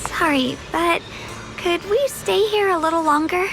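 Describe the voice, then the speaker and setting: High-voiced earnest young swordswoman. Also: unhurried, vocal fry, background noise, heavily acted. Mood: pensive, uneasy. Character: earnest young female swordmaster, gentle, self-doubting, spirited in battle. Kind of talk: video game voice acting. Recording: loud music behind the voice. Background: loud game music.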